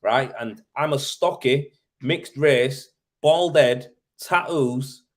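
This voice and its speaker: A man's voice: mid-range voice, slow. A man from Leeds with a Yorkshire accent, talking informally and colloquially as he hosts a casual livestream and answers questions. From Leeds, Yorkshire, England. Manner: informal, matey, colloquial, thinking aloud. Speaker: live show host, tradesman in plastering.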